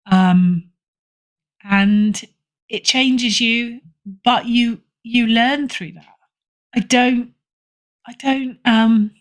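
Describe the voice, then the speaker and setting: A British woman chatting casually as a podcast guest, often correcting herself and repeating phrases for emphasis. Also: on the high side. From Britain. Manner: hesitant, self-correcting, emphatic repetition. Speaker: podcast guest, horror fiction editor. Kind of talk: casual interview chat, recommending stories.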